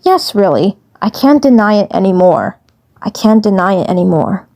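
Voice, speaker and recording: A woman's voice: medium pitch, big pitch swings, normal speed, a little mellow. A woman voicing a small male cartoon commander, teasing and smitten, who repeats his phrases hesitantly and earnestly. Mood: tender, sincere.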